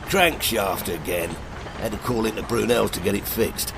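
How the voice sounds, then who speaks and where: A man's voice: lowish voice, normal speed, vocal fry, music in the background. A man playing a deferential working tug captain, terse and matter-of-fact, in an audio drama. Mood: dutiful, matter-of-fact.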